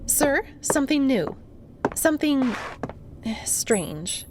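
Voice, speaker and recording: A woman playing a high, slow-spoken dutiful military subordinate. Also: very animated, noisy background, heavily acted. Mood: cautious. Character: dutiful female military subordinate reporting to her commander. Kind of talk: sci-fi audio drama acting.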